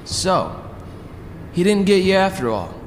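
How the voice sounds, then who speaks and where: Man acting a high-pitched murderous villain in reverb. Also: very animated, unhurried, background noise, exaggerated voice. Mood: feigned surprise, sinister. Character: murderous scheming businessman villain, desperate and defiant. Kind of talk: audio drama acting. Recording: long hall reverb.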